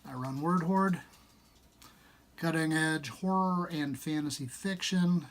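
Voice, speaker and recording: A man presenting a book like an enthusiast publisher, part casual pitch and part reading aloud, with expressive, emphatic delivery. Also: takes it slowly. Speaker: small-press horror and fantasy publisher presenting a book.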